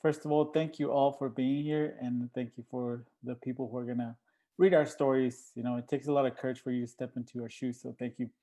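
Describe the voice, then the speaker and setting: Mellow, even-toned man. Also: mid-range voice, a little fast. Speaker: guest monologuist. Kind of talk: casual self-introduction.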